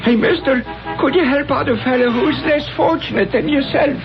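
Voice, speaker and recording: A man's voice: extremely high pitch, moderate pace. An actor playing a whining, self-pitying male street beggar in a 1970s radio drama. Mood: wheedling. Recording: muffled old radio recording, music behind.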